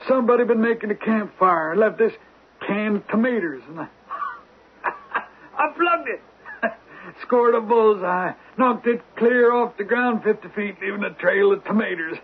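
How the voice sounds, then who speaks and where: A man's voice: sits high, slow, long pauses. A man in a radio drama playing a folksy, rambling American detective who poses as an affable hunter. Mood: rambling, uneasy. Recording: thin phone-like old radio, noisy.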